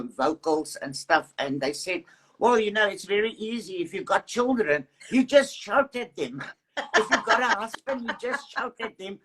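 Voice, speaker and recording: A woman hosting an interview, giving brief affirmations and asking halting questions. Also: on the low side. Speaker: interviewer host. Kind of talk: casual interview chat.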